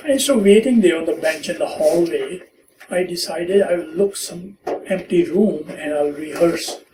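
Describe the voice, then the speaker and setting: A man in his seventies with a Pakistani accent, telling stories from his life in an interview with gentle humor. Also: fairly high pitch. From born in India, raised in Pakistan. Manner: unhurried, gently humorous storyteller who acts out quoted lines. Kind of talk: oral history storytelling. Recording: echoey room, far from mic, faint hiss.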